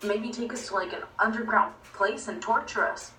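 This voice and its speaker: Bright woman, echoey and distant. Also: a little high, normal speed. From Venezuela. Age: 20s. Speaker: storyteller. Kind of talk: personal story narration. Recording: echoey, distant playback with faint noise.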